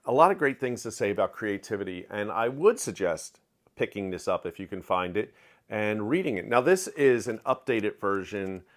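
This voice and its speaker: A middle-aged man giving a candid, opinionated book review in a home study, talking close to the camera with lively hand gestures. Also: on the low side, normal speed. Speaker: book vlogger. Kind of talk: casual reading wrap-up review. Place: home study with shelves of collectibles. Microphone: webcam framing, close to camera.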